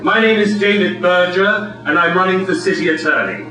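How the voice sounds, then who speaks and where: A plainspoken man gives a combative stump speech in a slightly high voice. He sounds far from the mic in a heavily echoing hall. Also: normal speed. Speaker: candidate for city attorney. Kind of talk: campaign speech. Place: community meeting hall.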